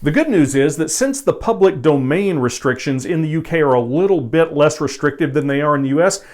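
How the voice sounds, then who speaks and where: A man's voice: a little high, lively intonation, brisk, a little mellow. A man presenting as a video host in an informal explainer style, with a wry, conversational manner. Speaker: video host and record collector.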